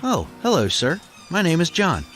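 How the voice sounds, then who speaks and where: A man's voice: on the high side, huge pitch swings, moderate pace, a bit bright, soft background music. A man playing a polite, hesitant, hapless young man in a comedic audio drama. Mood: awkward politeness. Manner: awkward, courteous.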